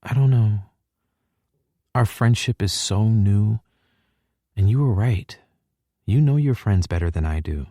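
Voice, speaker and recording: Guy putting on a crying young woman, soft shaky voice, kinda husky. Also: fairly low pitch, unhurried, long pauses, no echo, clean studio sound, voice pushed up. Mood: tearful. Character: young woman, tearful and anxious.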